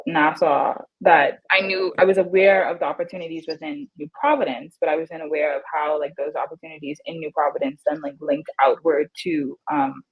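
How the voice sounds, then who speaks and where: Husky-voiced woman moderating a video call. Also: fairly low pitch, dull audio. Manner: hesitant, filler-heavy phrasing. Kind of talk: casual panel conversation over a video call.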